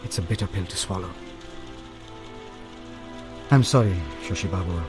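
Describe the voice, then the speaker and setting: An actor with an Indian accent playing a sharp, brooding male detective in a radio drama. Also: deep voice, moderate pace, music in the background, natural voice. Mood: dejected.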